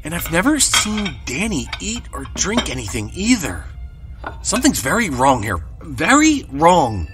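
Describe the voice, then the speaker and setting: A man's voice: a little high, huge pitch swings, a little slow, music in the background. A frightened, stammering young man. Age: young adult. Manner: anxious, stammering inner monologue. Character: frightened young man trapped in a vampire's mansion.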